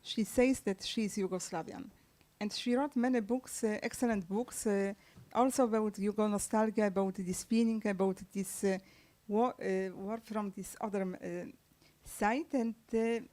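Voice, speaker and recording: Halting non-native woman author. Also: medium pitch, fairly even intonation, warm tone. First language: Serbian. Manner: halting, many fillers and restarts, non-native grammar. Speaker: author guest. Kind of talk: conversational book-launch interview. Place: press club event room.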